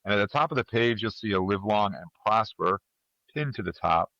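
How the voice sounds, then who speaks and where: A male podcast host with a fairly low voice runs through casual announcements, informal and playfully grand. The sound is dry and a little dull. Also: animated, a little fast, a bit bright, dry recording. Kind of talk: casual show introduction and announcements.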